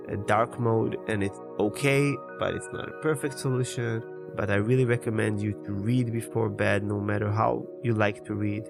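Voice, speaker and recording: A man whose first language is Hebrew, in his thirties, talking casually and at length about his daily routine as a podcast host. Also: medium pitch. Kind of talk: casual personal monologue.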